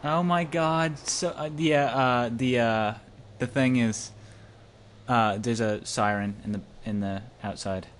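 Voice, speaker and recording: A man's voice: medium pitch, slow. Man reading aloud over background noise. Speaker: amateur let's-play co-host. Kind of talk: reading visual novel narration aloud with joking asides. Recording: noisy home recording.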